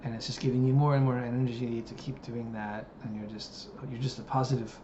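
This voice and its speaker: Man, late thirties, reflective vlogger, hesitant fillers, dull lo-fi sound with steady background noise. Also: mid-range voice, a little fast. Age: 30s. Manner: musing, hesitant, many fillers. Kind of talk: reflective personal monologue. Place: living in Japan.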